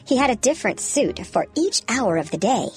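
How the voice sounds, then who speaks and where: A woman reading a children's fairy tale as an expressive, clearly enunciated storyteller. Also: fairly high pitch, fairly quick. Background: background music.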